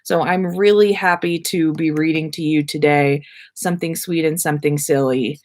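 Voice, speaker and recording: A woman's voice: sits low, steady tone, normal speed, a little mellow. A woman hosting a casual livestream, chatting to viewers in an effusive, rambling, playfully self-aware way. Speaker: livestream host.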